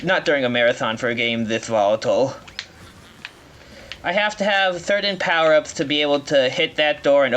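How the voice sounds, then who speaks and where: A man giving live speedrun commentary, explaining as he plays in a halting way, often rephrasing mid-sentence. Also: on the high side, fairly bright tone, a little hiss or hum. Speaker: speedrunner playing at a marathon.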